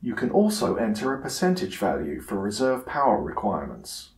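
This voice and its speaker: A man's voice: fairly low pitch, huge pitch swings. Roomy-sounding male tutorial narrator. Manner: measured, instructional. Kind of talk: scripted narration, software tutorial.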